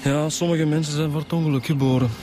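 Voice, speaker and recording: An actor playing a chatty, wry police inspector with a Flemish accent in a radio drama. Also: male voice, fairly high pitch, steady tone, fast, noisy background, close to an everyday voice. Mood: informative, chatty. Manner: chatty, colloquial. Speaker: detective. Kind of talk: naturalistic radio drama acting.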